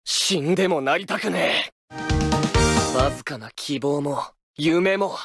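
An actor playing a cocky, rough-talking, scornful teenage baseball ace in an anime-style game. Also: male voice, fairly high pitch, slow. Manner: brash, scornful. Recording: loud game music behind the voice.

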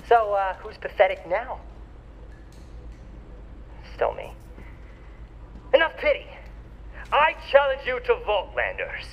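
A man's voice: extremely high pitch, muffled recording, a little hiss or hum, heavily acted. A man acting in a video game as a pompous, pathetic rival, speaking in grandiose, theatrical, formal phrases. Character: pompous, pathetic male rival craving honor.

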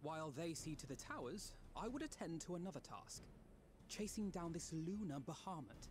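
An actor playing an earnest, scholarly teenage elf in a game, with formal old-fashioned diction and a British accent. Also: male voice, on the high side, sing-song, moderate pace. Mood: measured resolve. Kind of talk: game cutscene voice acting.

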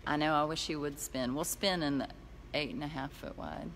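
A woman's voice: sits low, measured pace, a bit bright, slight background noise. A middle-aged woman giving a chatty, laughing walking tour of tiny homes she builds. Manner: chatty, laughs easily, guiding viewers around. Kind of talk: casual walking tour chat. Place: outdoor building lot and inside tiny houses.

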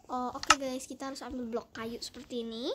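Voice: medium pitch, little pitch movement, brisk. A child giving casual commentary on a game house tour, recorded on a phone. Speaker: gaming vlog host. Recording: very noisy phone recording with clicks.

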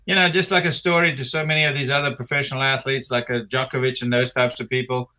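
A man in his sixties with a South African accent, chatting as an interview guest over a video call from his home office, rambling and relaxed with many fillers and restarts. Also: medium pitch, quick pace. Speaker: interview guest, retired tennis player. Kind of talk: casual interview chat. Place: home office with framed pictures.

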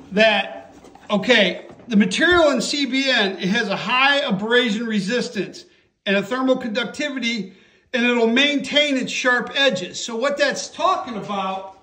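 A man giving a casual hands-on workshop tutorial in a folksy, emphatic, excitable way, often checking in with the listener. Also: very high voice. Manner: folksy, emphatic, repeatedly checks with "okay?" and "all right?". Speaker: host, chainsaw sharpening instructor. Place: indoor workshop.

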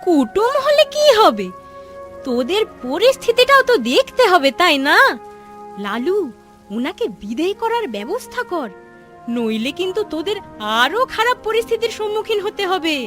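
A woman's voice: extremely high pitch, very animated, normal speed, faint music behind. A woman voicing a caring, outspoken young village woman who advises like a protective elder sister in a cartoon. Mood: indignant. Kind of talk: cartoon story dialogue.

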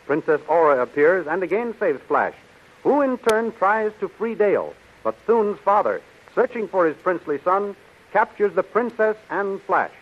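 A man's voice: sits high, big pitch swings, normal speed. A man with an American accent narrating a 1930s radio serial in a dramatic, declamatory way. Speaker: announcer and narrator. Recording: muffled, hissy 1930s radio recording.

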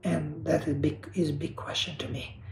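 A man's voice: fairly high pitch, flat delivery, moderate pace, creaky, reverberant, a little hiss or hum. A man from Kosovo, speaking as an artist on a panel over a video call, earnest and searching, often restarting and repeating words. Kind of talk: reflective panel talk.

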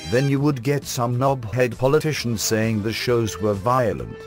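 A man's voice: mid-range voice, normal speed, soft background music. A British man narrating a scripted comic review as the host, in a sardonic, dry-humoured manner.

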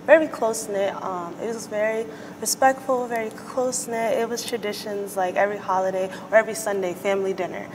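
Relaxed young woman, lots of "um". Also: mid-range voice, big-room sound, noisy background. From United States. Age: young adult. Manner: relaxed, filling pauses with "um". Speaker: student guest. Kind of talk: interview answers. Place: indoor studio set. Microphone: lapel mic.

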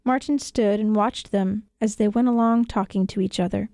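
A woman's voice: medium pitch, steady tone, moderate pace, dark tone, dry recording. A woman reading a children's story as a scripted narration, recorded in a radio studio.

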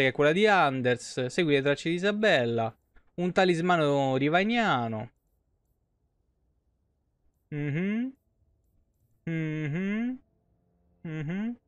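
A man's voice: sits high, fairly bright tone, smooth, no room sound. A man in his thirties doing casual live game commentary and chatting with viewers, informal and swearing freely. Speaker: live streamer.

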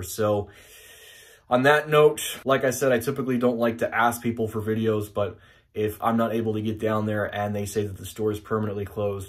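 A young man hosting his own channel, talking to camera in a plain, matter-of-fact way with a few fillers. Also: lowish voice. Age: young adult. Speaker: elevator video channel host. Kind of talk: casual to-camera intro. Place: small indoor room with wood paneling.

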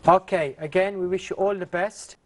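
A man's voice: high voice, steady tone, moderate pace, slight background noise. A man in his thirties hosting a TV quiz show in a studio, friendly and upbeat, on a lapel mic.

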